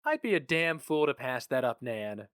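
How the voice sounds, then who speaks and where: A man's voice: medium pitch, very animated, normal speed, fairly bright tone, smooth, no room sound, clean studio sound, pitched above the performer's own voice. A man voicing an eager, stubborn young husband who speaks earnestly and insistently. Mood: excited, resolute.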